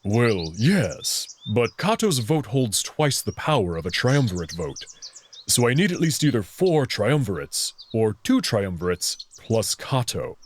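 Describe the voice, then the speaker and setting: A man voicing a proud, confident young alien wolf prince in a visual novel, friendly but full of hubris, speaking with expressive self-assurance. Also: medium pitch, a little slow, slight background noise. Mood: matter-of-fact.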